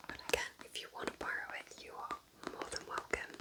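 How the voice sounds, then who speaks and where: A woman whispers throughout in a soft, breathy, unvoiced voice. She talks gently and without hurry, like an intimate ASMR chat, casually recommending things to the listener. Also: fairly quick. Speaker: ASMR creator recommending books.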